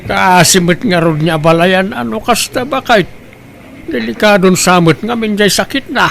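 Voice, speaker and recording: A grumbling older father voiced by a man, blunt about family troubles. His voice sits fairly high for a man, in the manner of radio comedy drama. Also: moderate pace, gravelly, a bit bright, faint music behind. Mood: blunt, resigned. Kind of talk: Ilocano comedy radio-style drama acting.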